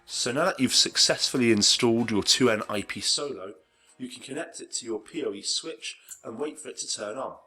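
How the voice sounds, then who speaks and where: A man's voice: medium pitch, fairly quick, sharp tone, soft background music. A man reading scripted narration for an instructional video tutorial.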